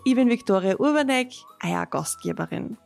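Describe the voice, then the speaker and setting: A woman's voice: a little high, lively intonation, normal speed, vocal fry, darkish tone, soft background music. A woman from Austria with an Austrian accent, hosting a travel podcast, narrating and interviewing. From Austria (born in Carinthia, grew up in Styria). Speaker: podcast host.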